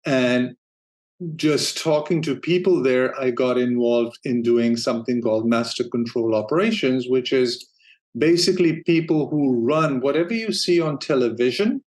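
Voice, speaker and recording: A man's voice: mid-range voice, moderate pace. Danish-born man telling his career story. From Denmark. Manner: relaxed storytelling, laughs easily, frequent restarts. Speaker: guest, streaming operations manager. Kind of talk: casual interview talk.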